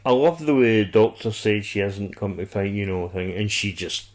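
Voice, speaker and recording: A man's voice: on the low side, normal speed. A man chatting casually as a podcast host reviewing television, blunt, opinionated and free with his swearing.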